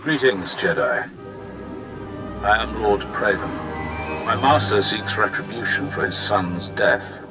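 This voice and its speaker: A villainous Sith lord of stern honor, low in pitch and formal in delivery. His measured, dramatic game dialogue moves slowly over prominent music. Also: expressive. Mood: grave, challenging. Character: grave, honor-bound Sith warrior lord, a male villain. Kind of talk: dramatic video game acting.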